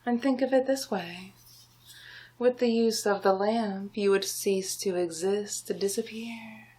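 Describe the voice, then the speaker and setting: A woman voicing a scheming, domineering male sorcerer villain in a slow, breathy, often whispered ASMR roleplay. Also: medium pitch, steady tone, dark tone, rough recording. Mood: condescending, menacing. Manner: slow, drawn-out, menacing, hypnotic.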